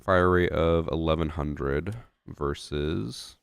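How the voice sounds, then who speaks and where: A man's voice: rumbling low, slow pace, creaky, smooth, no echo, clean studio sound. A young man streaming a shooter game, chatting casually with his chat and thinking aloud with fillers, a little stuffy from a head cold.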